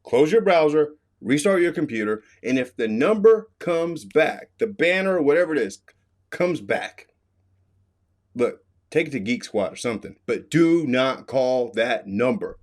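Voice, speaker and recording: A man's voice: medium pitch, expressive, measured pace. A middle-aged American man giving an emphatic, instructive explainer commentary as an expert host. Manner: emphatic, instructive, repeats key warnings.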